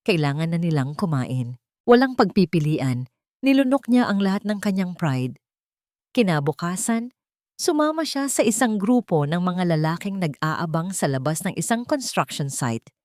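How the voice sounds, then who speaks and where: A woman's voice: on the low side, big pitch swings, normal speed, darkish tone, no echo, clean studio sound. A woman with a Filipino accent giving a scripted, dramatic story narration.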